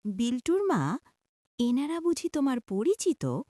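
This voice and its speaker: A woman's voice: sits high, very animated, a little slow, warm tone, dry recording, clean studio sound. A woman voicing a welcoming, curious village aunt in a cartoon.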